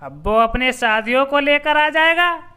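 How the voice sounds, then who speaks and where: Man putting on a high-pitched wicked witch. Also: lively intonation, pushed and bright, full character voice. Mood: menacing glee. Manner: gloating, drawn-out threats, cackling. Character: wicked cackling witch, scheming and menacing.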